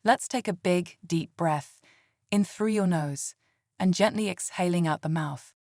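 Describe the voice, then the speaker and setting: Calm low-voiced woman guiding meditation. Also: unhurried, no room sound, clean studio sound. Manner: calm, soothing, gentle delivery. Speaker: meditation guide. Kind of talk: scripted guided meditation.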